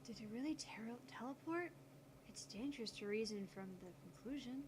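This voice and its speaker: Girl voicing a young lady scientist in a visual novel, kinda high voice, matter-of-fact and skeptical, noisy recording. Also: animated, moderate pace, close to an everyday voice. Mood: analytical. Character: young female genius scientist, skeptical, snippy, analytical. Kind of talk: visual novel character dialogue read aloud. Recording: clear background noise.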